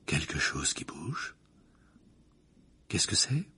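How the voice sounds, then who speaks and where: A man voicing a solemn, theatrical woman who teaches divination, with breathy, drawn-out, portentous delivery in an audiobook. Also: on the low side, expressive. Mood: ominous.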